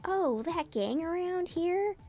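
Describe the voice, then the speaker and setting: A woman's voice: very high-pitched, lively intonation, takes it slowly, dark tone, faint music behind, pitched above the performer's own voice, exaggerated voice. A woman voicing gossipy, bantering school delinquents in a casual, teasing webcomic dramatic reading.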